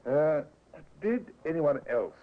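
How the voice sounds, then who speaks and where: An authoritative Victorian inspector in a fifties radio play. He questions formally with a lively, varied pitch. The sound is thin and phone-like with some noise. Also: slow. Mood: patient questioning. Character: probing, brisk Victorian police inspector. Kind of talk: 1950s radio acting. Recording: thin, phone-like old radio recording, noisy.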